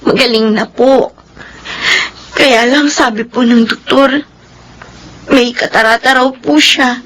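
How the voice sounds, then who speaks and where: A woman's voice: on the high side, fairly even intonation, slow pace, fairly bright tone, rough recording. A woman playing a devoted, meek young maid in a Filipino radio drama, polite and emotional. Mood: tearful, sad.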